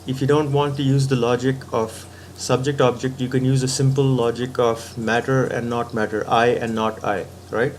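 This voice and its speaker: A man's voice: mid-range voice, little pitch movement, normal speed, hardly any pauses, a little hiss or hum. A man giving a patient philosophical talk, reasoning step by step and often checking in with the listener. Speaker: spiritual teacher.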